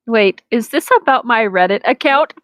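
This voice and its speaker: A woman's voice: a little high, animated, moderate pace, no room sound, natural voice. A woman voicing a dim, childish, arrogant young male villain wizard in a comic reading, clueless and cocky. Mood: puzzled.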